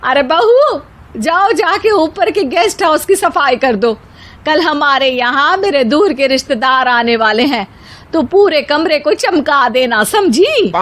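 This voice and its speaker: A woman's voice: high-pitched, expressive, unhurried, a bit bright, voice pushed up. A woman voicing a bossy, exasperated mother-in-law in a cartoon story, commanding and scolding. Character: bossy, exasperated mother-in-law ordering her household around. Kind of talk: animated moral-story character voice.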